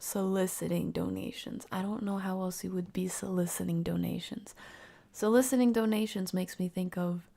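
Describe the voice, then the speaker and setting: A woman with noticeable vocal fry hosts a casual livestream reaction. Her talk is slangy and animated, and she picks things apart out loud as she goes, at an everyday female pitch. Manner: animated, slangy, analytical, thinking aloud. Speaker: livestream host reacting to a video. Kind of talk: casual reaction commentary to live viewers.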